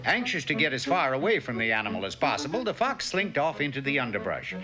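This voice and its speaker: A man's voice: medium pitch, big pitch swings, quick pace, lightly acted. A man playing a wry, fatherly storyteller narrating a comic cartoon fable. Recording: dull vintage soundtrack, loud music.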